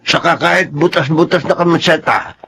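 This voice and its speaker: A man's voice: fairly high pitch, fairly even intonation, brisk, pushed and bright, slight background noise. A man with a Filipino accent playing a practical working-class father in a radio drama, matter-of-fact and colloquial. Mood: practical, hurried.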